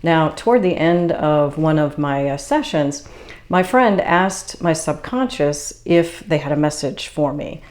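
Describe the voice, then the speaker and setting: A woman from the Boston area, a spiritual channeler hosting her own video, explaining things in a calm, casual monologue. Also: deep voice, measured pace. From Boston area, USA.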